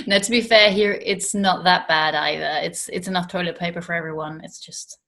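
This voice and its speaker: A woman hosting a casual travel interview series over a video call, upbeat and quick to laugh. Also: on the low side, vocal fry, a bit bright.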